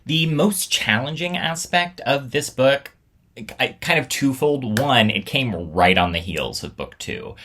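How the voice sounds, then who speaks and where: A man's voice: mid-range voice, lively intonation, a little slow, pushed and bright. A man talking casually and reflectively, as the host looking back on his own storytelling show. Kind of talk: casual reflective vlog talk.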